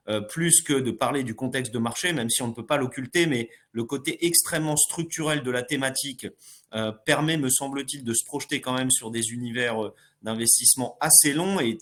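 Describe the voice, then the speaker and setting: A man hosts a business webinar and thanks his guest and the audience. His voice sits at an ordinary middle pitch. He speaks in a polite, formal way, with frequent fillers between phrases. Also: fairly bright tone. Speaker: webinar host.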